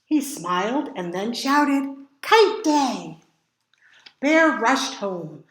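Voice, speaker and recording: A woman reading picture books aloud to children as a storytime reader, with dramatic emphasis and drawn-out words. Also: fairly high pitch, expressive, fairly bright tone, reverberant. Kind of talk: expressive picture book read-aloud for children.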